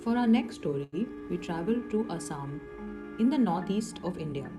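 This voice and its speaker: A woman telling eerie stories in a semi-scripted, explanatory way, with rhetorical questions and dramatic emphasis. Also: on the low side. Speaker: storyteller. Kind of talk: semi-scripted horror storytelling. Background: music under the voice.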